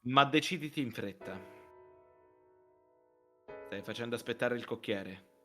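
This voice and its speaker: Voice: mid-range voice, unhurried, pitched below the performer's own voice, natural voice. A live reader playing a brusque, curt husband who orders his wife around, with music behind. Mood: curt, impatient.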